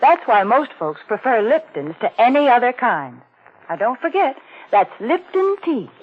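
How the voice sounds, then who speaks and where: A woman's voice: on the high side, sing-song, moderate pace, a little hiss or hum. A woman playing a cheery, proper, homey sponsor spokeswoman, chatting and persuading in a folksy 1940s radio advertising manner. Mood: chatty, persuasive. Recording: thin, phone-like old radio recording.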